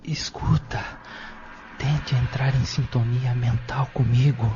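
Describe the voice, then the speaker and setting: A man playing a calm young man in a trance, speaking in a hushed, solemn way in an audio drama. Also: medium pitch, takes it slowly, lightly acted. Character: young man in trance, calm, instructing his friend telepathically. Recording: dull lo-fi recording under music.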